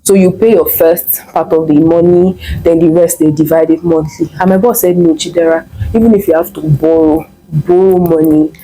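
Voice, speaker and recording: A young woman with a Nigerian accent tells her story straight to camera, chatty and animated, and she plays out the lines of the people in it. Her voice sits a little low and has a soft, mellow tone. She sounds like a vlogger talking casually to her viewers. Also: moderate pace, poor audio. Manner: chatty, animated retelling with quoted dialogue and laughter. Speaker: vlogger and online MBA student.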